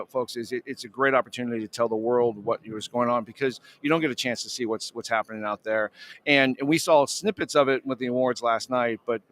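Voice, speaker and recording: A man speaks as an executive guest in a casual live interview. He talks at a quick clip in a medium-pitched voice. His manner is effusive and enthusiastic. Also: fairly bright tone.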